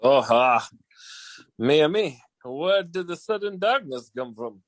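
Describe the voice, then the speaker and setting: A man's voice: fairly high pitch, lively intonation, slow pace, dry recording. Gushing, pompous fake Frenchman. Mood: dazed. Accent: put-on French. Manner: pompous, florid, theatrical drawl. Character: flamboyant bumbling male agent posing as effusive French war hero. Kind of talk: old-time radio comedy acting.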